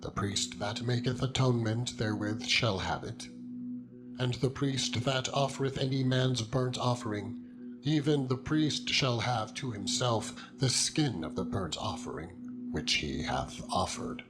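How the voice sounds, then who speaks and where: A man narrates the Bible in a solemn, dramatized style, measured and declamatory, delivering it phrase by phrase. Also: mid-range voice, noisy background. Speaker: scripture narrator. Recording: prominent music under the voice. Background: loud instrumental music.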